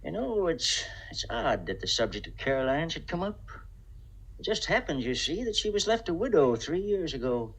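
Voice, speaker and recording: A timid older bachelor in a 1950s radio play. He is mild and wistful, hesitating often, with a very animated pitch. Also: moderate pace, slightly rough, full character voice, low-quality recording. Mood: hesitant, wistful. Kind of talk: 1950s radio drama acting.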